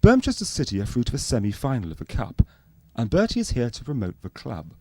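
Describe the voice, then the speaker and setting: A man playing a straight-faced, matter-of-fact docu-soap narrator in a radio spoof, reading scripted narration deadpan. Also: mid-range voice, fast talker, no room sound.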